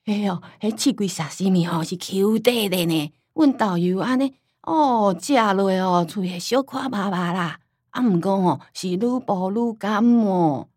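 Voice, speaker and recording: A woman's voice: mid-range voice, unhurried, darkish tone, no echo, clean studio sound, lightly acted. A woman acting a stubborn old Taiwanese grandmother. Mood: animated, chatty. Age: older. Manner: rambling, folksy storytelling with sighing interjections. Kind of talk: radio drama acting in Taiwanese Hokkien.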